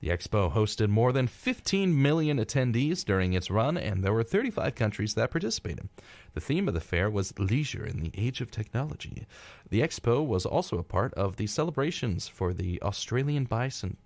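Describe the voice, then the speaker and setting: A man with a lowish voice co-hosts a casual radio show from a studio. He reads out a rundown and drops in flippant remarks as he goes. The sound is very dry, with no room echo. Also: big pitch swings, moderate pace, a little mellow. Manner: flippant, irreverent asides. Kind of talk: casual live talk reading a history rundown with joking asides.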